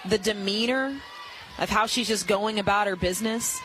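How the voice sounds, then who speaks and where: An American woman working as color analyst, explaining softball play in an informal, conversational way. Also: medium pitch, steady tone, moderate pace, some chatter behind, rough recording.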